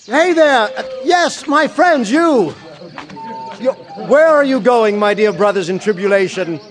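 An actor playing a poor, joyful young German musician in an audio drama, rapturous and declamatory. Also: male voice, extremely high pitch, animated, measured pace. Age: young adult. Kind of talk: dramatized audio play acting.

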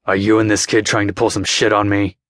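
A man's voice: lowish voice, flat delivery, brisk, a bit bright, dry recording, clean studio sound, natural voice. A man voicing a mean, sneering teenage bully who talks bluntly.

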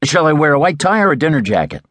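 A male narrator puts on an excitable, lovestruck young man who pleads and gushes. His voice jumps high and swoops widely, every line rushing with eagerness. It is recorded dry and close. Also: no echo. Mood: pleading. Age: young adult. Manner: breathless, pleading, gushing. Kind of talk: audiobook character voice.

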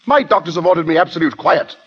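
A man's voice: fairly high pitch, expressive, fast talker, breathy edge. An actor playing a petulant, easily swayed French king in haughty, theatrical old radio drama style. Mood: peevish. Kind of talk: 1930s-40s radio drama acting. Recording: dull vintage radio recording.

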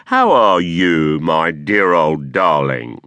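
A man voicing a malicious, mocking male dwarf who snarls slow, drawn-out taunts. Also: a little high, lively intonation, pushed and bright, no room sound, muffled recording, voice pushed up. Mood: mocking menace.

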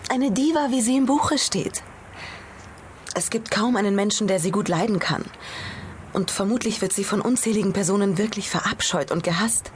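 A woman's voice: medium pitch, moderate pace, close to an everyday voice. A woman playing a proud, gossipy and worried hotel owner in a German audio drama, effusive and deferential. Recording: ambient noise behind the voice.

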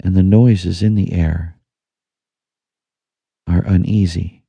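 A man narrating a guided meditation in a soothing, hushed manner with long pauses. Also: low-pitched, fairly even intonation, gravelly, husky, no room sound. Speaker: audiobook narrator.

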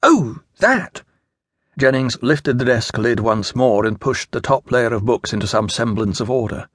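A man's voice: medium pitch, steady tone, moderate pace, dry recording, clean studio sound. A man with a British accent reading scripted narration from a children's story. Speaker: audiobook narrator.

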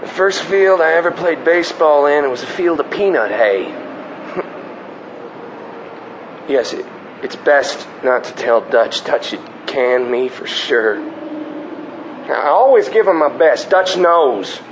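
An actor playing a slow, gentle ballplayer who is dying, in plain, halting country talk. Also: male voice, on the high side, expressive, dull audio, noisy background. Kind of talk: radio drama acting.

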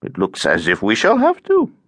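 A man's voice: fairly low pitch, huge pitch swings, moderate pace, fairly bright tone, no room sound, full character voice. A man voicing a gloomy, irritable Russian lodger in an audiobook, speaking in a terse, cold mutter. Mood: gloomy, irritated. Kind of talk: audiobook character acting.

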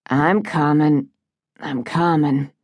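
A woman's voice: sits low, steady tone, slow pace, a little mellow, no room sound. A woman voicing a wealthy, impatient grown son with a curt manner in an audiobook. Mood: weary. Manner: curt, exasperated.

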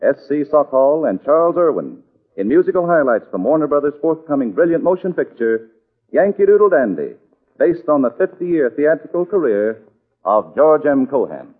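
A male 1940s radio announcer reads a formal script with big swings of pitch. His voice sits a little high. It comes through a narrow vintage broadcast with faint music behind. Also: big pitch swings, moderate pace.

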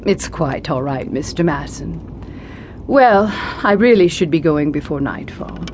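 An actress playing a kindly, genteel, grieving widow, formal and fluttery, in an audio horror drama. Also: female voice, deep voice, expressive, measured pace, a little mellow. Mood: polite, mournful. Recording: clear background ambience noise.

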